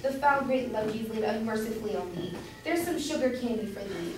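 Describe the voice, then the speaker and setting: A woman acts out a meddlesome, doting citizen's wife from an old stage comedy. She has a fairly high voice and fusses over everyone. It is heard from a distance in a very echoey room. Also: fairly quick, a little mellow, slight background noise, natural voice. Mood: fussing. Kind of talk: amateur staged reading of Jacobean comedy.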